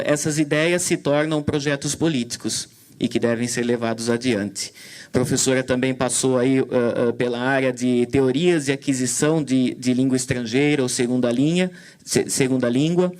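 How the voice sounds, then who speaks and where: A man's voice: mid-range voice, darkish tone. A man moderating a conference session, talking hesitantly with many fillers and restarts. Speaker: event moderator. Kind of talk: commentary on a talk.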